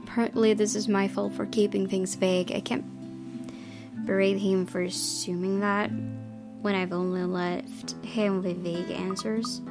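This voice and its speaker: A woman's voice: mid-range voice, little pitch movement, a little slow. A young woman reading visual novel narration aloud as the host of a gaming video. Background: game music with guitar.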